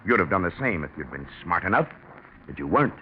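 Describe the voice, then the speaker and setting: An actor playing a big, bluff, ruthless business partner with a gloating, taunting manner in a 1940s radio drama. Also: male voice, sits low, very animated, quick pace. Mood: scornful. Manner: gloating, taunting, hard-headed. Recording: dull, noisy 1940s radio recording.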